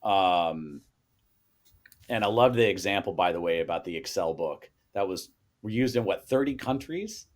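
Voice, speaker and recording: A man's voice: low-pitched, animated, unhurried. A man, a co-author on a podcast, chatting casually and working out numbers aloud as he goes. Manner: rambling, works sums out loud.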